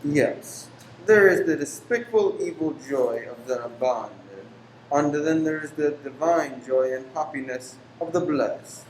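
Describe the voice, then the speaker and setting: A man's voice: fairly high pitch, measured pace, darkish tone, natural voice. A man acts a secretive, pious lodger in a radio play. He speaks formally and sternly, moralizing in antique phrases. The room is echoey, with a faint noise underneath. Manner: formal, archaic, preachy phrasing. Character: stern, pious, secretive male lodger preaching against pleasure.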